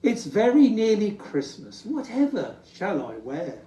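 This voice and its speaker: A fussy old Santa from a picture book, as a reader puts him on, lamenting in sing-song rhyme. He is unhurried and swooping, with a sighing manner, heard in a roomy space. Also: medium pitch, wildly swooping pitch, dark tone, voice pushed up. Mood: despairing. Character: troubled, fussy old Santa, bored with red. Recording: echoey room, distant from mic.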